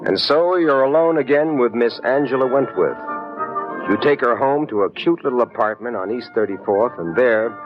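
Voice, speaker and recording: An actor playing a wry, hard-boiled private detective who narrates and speaks in a vintage radio drama. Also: male voice, mid-range voice, lively intonation, normal speed, lightly acted. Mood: smooth. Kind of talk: 1940s radio acting. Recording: muffled old radio recording with music.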